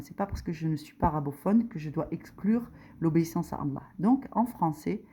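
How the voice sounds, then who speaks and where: A woman's voice: sits low, warm tone, slightly rough. A woman giving an informal religious lesson, explaining step by step with frequent hesitations. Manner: hesitant, many fillers, rising emphasis on key points. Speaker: religious teacher. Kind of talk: informal tutorial explaining a diagram.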